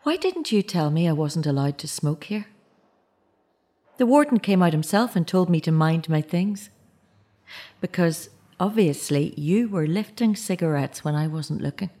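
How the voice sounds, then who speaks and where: A woman's voice: lowish voice, animated, normal speed, warm tone, dry recording. A middle-aged woman acting in an audio drama as an anxious, wounded mother of a teenage son, hesitant in her speech. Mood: cool, guarded.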